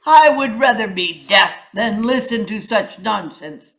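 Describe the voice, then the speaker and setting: A woman voicing a grumpy, scornful male iguana in a storybook reading, loud and snappish. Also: mid-range voice, expressive, unhurried, creaky. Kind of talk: animated storybook character reading.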